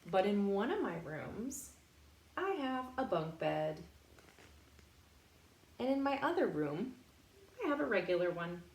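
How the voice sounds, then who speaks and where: A woman reading a picture book aloud in a playful, expressive way, pausing to put questions directly to young children. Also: medium pitch. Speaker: storytime reader for young children. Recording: roomy echo, faint background noise.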